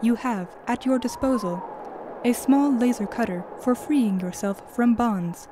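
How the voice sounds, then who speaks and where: A woman's voice: a little high, a little slow, background noise, close to an everyday voice. A woman playing a composed, precise artificial mission guide in a sci-fi audio drama. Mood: calm. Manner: precise, procedural.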